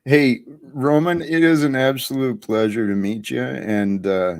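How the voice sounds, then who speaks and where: A man hosting a livestream, talking slowly and thoughtfully about his life with many pauses. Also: medium pitch, fairly even intonation. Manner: slow, thoughtful, pausing. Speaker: livestream host. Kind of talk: reflective livestream talk.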